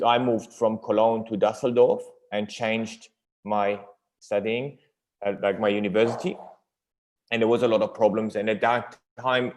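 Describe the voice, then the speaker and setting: Guy in his 30s, low voice, kinda halting, chatting in an interview, hunts for words. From Iran until age six, then Germany. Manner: halting, searching for English words, frequent "you know". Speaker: company owner being interviewed. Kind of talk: conversational interview answers.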